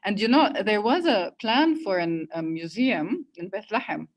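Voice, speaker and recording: Woman host in an online talk, mid-pitched, reflective, hesitant. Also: lively intonation, normal speed, a little hiss or hum. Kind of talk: casual online conversation.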